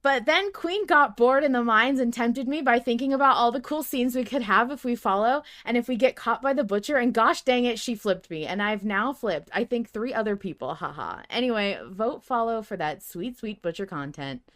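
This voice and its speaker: A woman's voice: fairly high pitch, animated, normal speed, few pauses, a bit bright. Emphatic woman podcast co-host. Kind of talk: casual fan podcast chat, reading listener emails.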